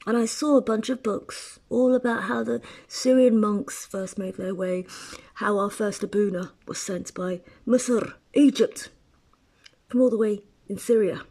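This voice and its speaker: A woman's voice: mid-range voice, a little slow, mellow. A woman from Eritrea talking at length in a casual personal monologue, rambling with restarts and asides. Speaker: writer.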